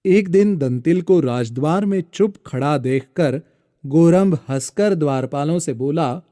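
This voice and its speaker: Slow male storyteller, slightly high voice. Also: no echo, clean studio sound. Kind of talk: scripted moral-story narration. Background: soft background music.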